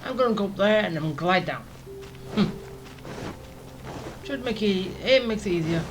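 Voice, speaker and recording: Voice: mid-range voice, expressive, moderate pace, background noise. A gamer giving casual, rambling gameplay commentary, thinking aloud. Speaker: gaming streamer.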